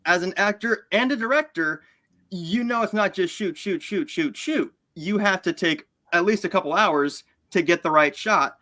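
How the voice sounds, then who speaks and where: A man joins an interview chat, talkative and teasing. His voice sits fairly high and sounds bright and sharp. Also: normal speed.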